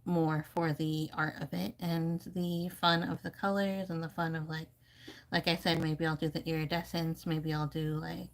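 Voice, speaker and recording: A woman with a low voice and plenty of vocal fry chats casually through a painting session. She thinks aloud in a loose, rambling way, explaining her choices as an art streamer would. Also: little pitch movement, normal speed. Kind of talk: casual live painting commentary.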